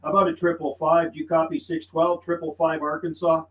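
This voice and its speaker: A man's voice: fairly high pitch, flat delivery, brisk, a bit bright. Guy doing casual cb radio chat, repeats himself, echoey room with a bit of noise. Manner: folksy, repeats phrases, radio slang. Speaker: CB radio operator and livestreamer. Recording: roomy sound with faint background noise.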